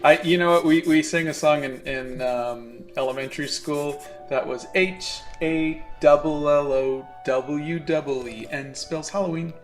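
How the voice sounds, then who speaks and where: A man's voice: a little high, fairly even intonation, measured pace, few pauses, soft background music. Easygoing man chatting while painting. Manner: easygoing, friendly, thanks viewers. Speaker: artist hosting a live painting stream. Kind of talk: casual chat while painting.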